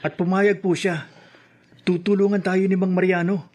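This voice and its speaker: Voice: medium pitch, little pitch movement, normal speed, darkish tone, lightly acted. Earnest, protective young son played by an actor. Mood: respectful, calm. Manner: heated, earnest. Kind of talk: Filipino radio drama acting.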